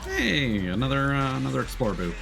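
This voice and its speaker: A man's voice: mid-range voice, wildly swooping pitch, fairly quick, creaky. A man giving casual live gameplay commentary as a streamer, musing to himself and talking to the game. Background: game music.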